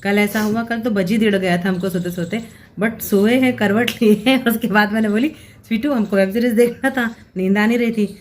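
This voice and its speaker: A woman's voice: mid-range voice, steady tone, fairly quick, a little mellow, a little hiss or hum. A woman in her thirties talking through a family vlog at home, chatty and explaining things at length with rambling asides. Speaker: family vlogger.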